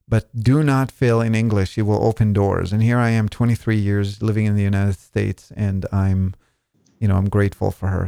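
Israeli man, 40s, gravelly podcast host, chatty, dry close sound. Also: lowish voice, a little mellow, no echo. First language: Hebrew. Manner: chatty, joking, many fillers. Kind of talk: casual podcast interview.

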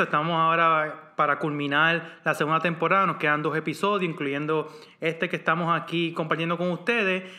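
A man's voice: a little high, sharp tone. A man co-hosting a science podcast in a casual, filler-heavy way. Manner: casual, many "este" fillers. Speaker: podcast co-host.

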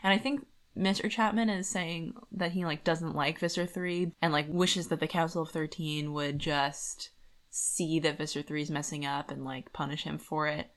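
A woman's voice: low voice, fairly even intonation, normal speed. A woman co-hosting a podcast in a casual, colloquial book discussion, slipping conversationally into quoted lines. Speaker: podcast co-host.

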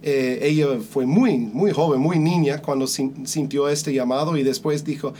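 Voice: mid-range voice, moderate pace, dark tone, a little hiss or hum. A host interviewing guests in Spanish, upbeat and often repeating words as a sentence starts.

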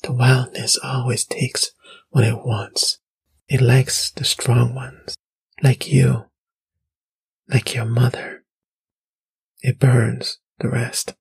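A man voicing an ancient female spirit in an audiobook, soft, eerie and coaxing, in a slow, hushed half-whisper. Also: medium pitch, level tone, vocal fry, husky, exaggerated voice. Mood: ominous, hushed. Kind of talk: audiobook storytelling.

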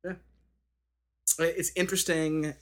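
A man's voice: on the high side, level tone, takes it slowly. A thirty-year-old man chatting casually on a band podcast, rambling with many fillers and restarts. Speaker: band member co-hosting a podcast.

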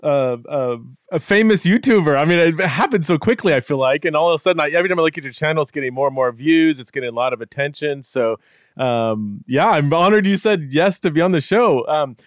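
A man's voice: a little high, fairly quick, dry recording, studio quality. A man hosting a podcast in a casual, informal and enthusiastic way, with stammering restarts. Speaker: podcast host. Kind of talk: casual interview chat.

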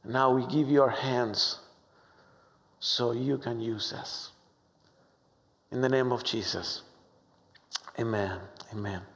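A man from Argentina with a strong Argentine Spanish accent, preaching a sermon with warm, humorous, halting storytelling full of fillers. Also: medium pitch, fairly even intonation, creaky. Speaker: pastor. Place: church sanctuary. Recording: long hall reverb.

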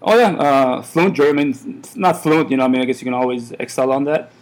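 A man's voice: mid-range voice, brisk, low-quality recording. A man in his thirties, born in Iran, who runs a café, chatting casually in an interview and rambling with lots of fillers and restarts. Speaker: café owner.

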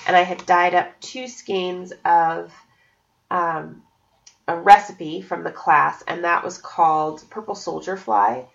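Chatty, sniffly woman with a gravelly voice. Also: fairly low pitch, fairly even intonation, takes it slowly, highs cut off. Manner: chatty, upbeat, many fillers. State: sniffly from allergies. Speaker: podcast host. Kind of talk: casual solo podcast chat. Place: home at night, cats nearby.

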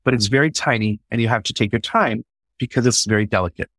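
A man talks in a loose, excited conversational way, swearing freely and restarting his sentences as he thinks aloud. His voice sits at an ordinary middle pitch. The sound is dry, with no room echo. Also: quick pace. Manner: enthusiastic, swears casually, many restarts. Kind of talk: conversational podcast discussion.

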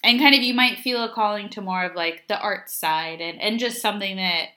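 A young woman co-hosting a casual chat, reading notes aloud in a halting way with lots of filler words. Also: mid-range voice, pushed and bright. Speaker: podcast co-host.

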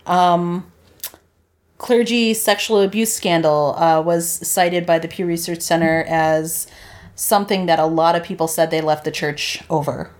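A woman's voice: fairly low pitch, fairly even intonation, measured pace. A young woman from the Chicago area co-hosting a casual podcast chat, laughing often between her phrases. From Chicago area, Illinois. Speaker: podcast co-host.

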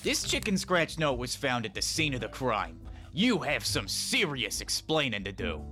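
A man playing a righteous chimp police detective in a comic noir audio drama, with dramatic, forceful delivery. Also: mid-range voice, sing-song, normal speed, music in the background, lightly acted. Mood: probing. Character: hard-boiled animal police detective, a chimp, righteous and theatrical.

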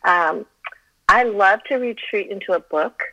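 Bright, giggly middle-aged woman on a phone line. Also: medium pitch, a little slow. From Colorado, USA. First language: Chinese. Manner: giggly, self-deprecating, chatty. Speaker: podcast guest, author. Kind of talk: casual interview chat.

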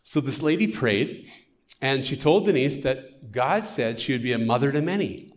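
A man's voice: mid-range voice, animated, normal speed. A middle-aged American man, a missionary, giving an earnest storytelling testimony in church. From United States.

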